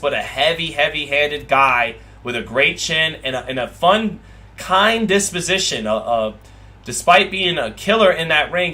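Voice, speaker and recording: Bright-voiced boxing stream host. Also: male voice, a little high. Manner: rambling, repeats phrases, restarts sentences. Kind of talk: live watch-party commentary, reading viewer comments.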